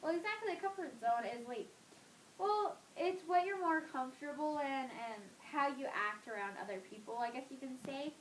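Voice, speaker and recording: A woman's voice: sits high, lively intonation, normal speed, a little hiss or hum, rough recording. A teenage girl answering interview questions casually, with lots of fillers, trailing off at the ends of her thoughts. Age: teens. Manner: chatty, filler-heavy, trailing off.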